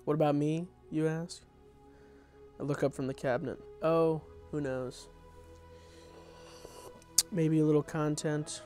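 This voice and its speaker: A man's voice: fairly high pitch, fairly even intonation, measured pace, pauses often, mellow, faint music behind. A young adult man doing an informal table read of his own comedy script, dropping in wry, off-the-cuff asides. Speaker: content creator reading own script.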